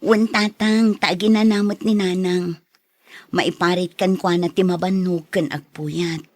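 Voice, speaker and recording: A woman's voice: lowish voice, steady tone, measured pace, a little mellow, no room sound. A woman playing a dutiful, long-suffering wife and mother in an Ilocano radio drama, earnest and reproachful. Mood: caring, earnest.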